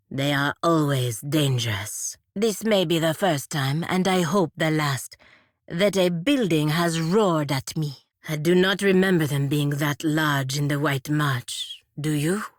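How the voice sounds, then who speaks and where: A woman's voice: low voice, no room sound. A woman playing a stern, proud feathered warrior in a fantasy video game, speaking formally and measuredly with dry sarcasm. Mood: dry, observant. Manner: formal, measured, dryly sardonic, avoids contractions. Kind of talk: scripted video game companion voice lines.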